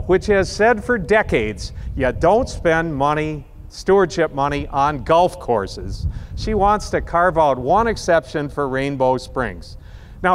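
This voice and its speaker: A man speaks at a rally, his voice pitched a little high and carried by a long, trailing reverb. He is impassioned and emphatic, slowing down hard on the words he wants to land. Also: a little hiss or hum. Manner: impassioned, emphatic, slows down to stress key words. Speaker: rally speaker introducing the next speaker. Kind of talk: speech at an outdoor rally.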